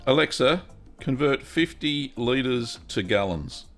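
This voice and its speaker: A middle-aged man hosting a casual cheese-making livestream, chatty and upbeat, reading out viewer comments and filling pauses with ums. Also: mid-range voice, animated, soft background music. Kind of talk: casual live-stream chat.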